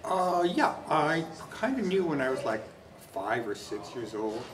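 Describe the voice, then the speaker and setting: A man's voice: medium pitch, very animated, a little slow, far from the mic, background noise. Casual man in his sixties, roomy sound. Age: 60s. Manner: casual, with fillers. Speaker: interviewee. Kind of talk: documentary interview.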